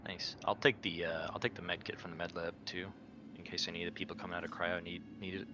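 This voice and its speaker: A man's voice: low-pitched, little pitch movement, quick pace, dull audio. A man playing a tabletop game, talking casually with the group as a player. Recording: noisy background ambience.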